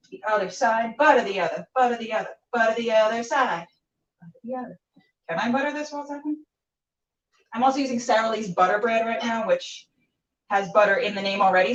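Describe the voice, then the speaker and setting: A woman chatting casually and playfully to camera as a cooking show host, rambling and talking to herself as she goes. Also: on the high side. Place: indoors while cooking, live stream. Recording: roomy, distant, dull camera sound.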